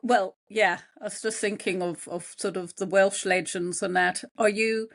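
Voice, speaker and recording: A woman's voice: medium pitch, fairly even intonation, normal speed, gravelly. A woman co-hosting a podcast, chatting casually and encouraging the guest with frequent agreement. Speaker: podcast co-host. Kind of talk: casual interview chat.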